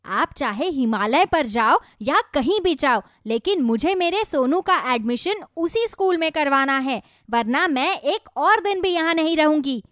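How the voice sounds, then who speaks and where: Woman playing a high-pitched, demanding village wife. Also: expressive, unhurried, few pauses, fairly bright tone, dry recording, studio quality. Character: demanding, materialistic young village wife and mother. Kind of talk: children's moral story cartoon.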